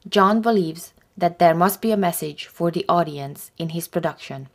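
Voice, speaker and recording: A woman reading scripted documentary narration in a measured, even way. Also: lowish voice.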